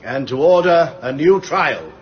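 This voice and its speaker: An actor playing a formal court judge in a radio drama, declaiming deliberately. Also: male voice, a little high, animated, highs cut off, a crowd in the background. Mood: stern, formal.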